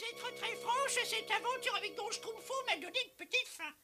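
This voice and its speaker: An outspoken female cartoon Smurf with an extremely high voice. The delivery is spirited and emphatic, and music plays behind it. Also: brisk, exaggerated voice. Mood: admiring, frank. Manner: emphatic, expressive. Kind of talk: animated cartoon dub. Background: background music.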